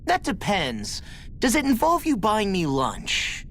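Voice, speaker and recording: A man's voice: high voice, huge pitch swings, slow, a bit bright, a little hiss or hum, heavily acted. An actor playing a brash, cheeky young man who talks in a cocky, joking way.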